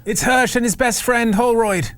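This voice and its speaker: A man's voice: very high-pitched, fairly even intonation, moderate pace, fairly bright tone, no room sound, faint music behind. A man playing a tabletop roleplaying game, with droll, theatrical in-character banter.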